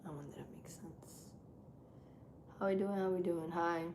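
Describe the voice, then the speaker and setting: Deep gravelly-voiced woman streamer. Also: fairly even intonation, unhurried. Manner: laid-back, chatting with viewers while setting up a game. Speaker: live streamer. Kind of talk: casual chat with live viewers. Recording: echoey room with loud background noise.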